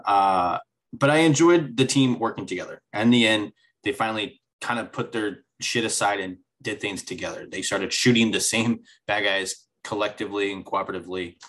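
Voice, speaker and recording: A man's voice: on the low side, normal speed, fairly bright tone, poor audio. A man co-hosting a podcast, chatting casually about a TV show and restarting his sentences often. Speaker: podcast co-host. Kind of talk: casual chat.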